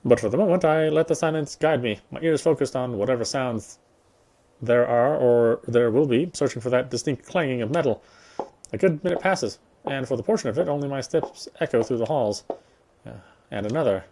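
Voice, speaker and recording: Man, middle pitch, visual novel narration read aloud, occasional stumbles. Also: brisk, mellow. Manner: stumbles and repeats phrases. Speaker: playthrough reader.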